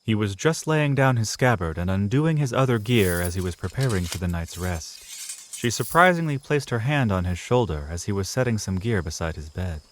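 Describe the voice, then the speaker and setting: A man with a fairly low voice narrates a fantasy audio drama. He reads scripted prose as a storyteller, telling the tale between the characters' lines. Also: expressive, normal speed.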